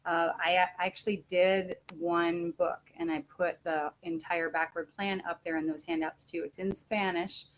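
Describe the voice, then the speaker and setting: A woman giving an informal instructional webinar talk as a teacher trainer, halting and correcting herself as she explains. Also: fairly low pitch, level tone, rough recording.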